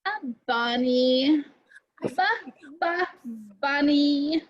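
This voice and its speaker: A woman's voice: sits high, extremely slow, a little hiss or hum. A woman hosting a children's storytime, reading and chatting playfully to young listeners through a dull, lo-fi microphone. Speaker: children's librarian, storytime presenter. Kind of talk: children's storytime read-aloud.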